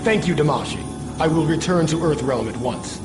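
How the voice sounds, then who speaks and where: A man's voice: on the high side, moderate pace, over loud music, close to an everyday voice. A male actor playing an earnest, polite martial arts hero in a fighting game, formal and respectful. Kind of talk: fighting game cutscene acting.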